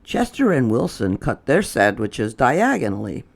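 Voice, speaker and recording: Guy reading kids book aloud, slow, really sing-song up and down, bit creaky. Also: mid-range voice, huge pitch swings. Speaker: storytime reader. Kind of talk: children's storybook read-aloud.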